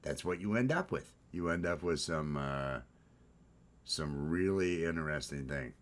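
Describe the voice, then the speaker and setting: A man with a baritone voice hosts a casual morning chat, rambling with restarts and fillers. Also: expressive, measured pace. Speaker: host.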